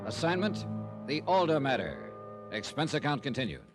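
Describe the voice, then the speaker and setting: An actor playing a wry, hard-boiled insurance investigator in a 1950s radio drama, with punchy, clipped delivery. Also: male voice, mid-range voice, big pitch swings, normal speed, natural voice. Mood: wry narrating. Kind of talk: 1950s radio detective acting and narration. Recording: old radio recording with music.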